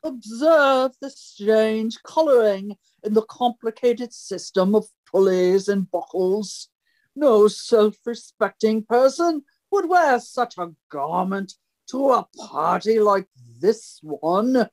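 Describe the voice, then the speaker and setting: A woman's voice: a little high, lively intonation, slow, darkish tone, heavily acted. A woman playing an imperious, theatrical great detective with a pompous, declamatory delivery in an old-time radio mystery parody. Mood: grandly triumphant.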